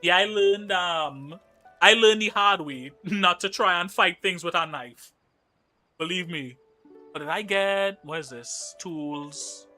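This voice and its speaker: A man's voice: sits high, slow pace, pushed and bright, soft background music. A young man with a Trinidadian accent chatting casually on a live game stream, joking and reading chat messages aloud. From Trinidad and Tobago.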